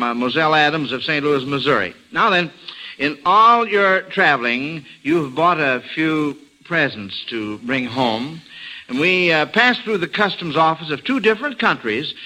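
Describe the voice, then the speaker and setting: A man's voice: a little high, bright, forceful tone. An American man hosting a mid-century radio quiz show and reading sponsor messages in a folksy, chatty way with hesitant asides. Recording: noisy vintage radio recording.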